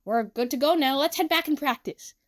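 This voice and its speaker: A woman voicing a brash, loud teenage boy chasing stardom, with a boastful, shouty manner. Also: high voice, fairly quick, voice pushed up, natural voice. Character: brash loud teen boy aspiring star.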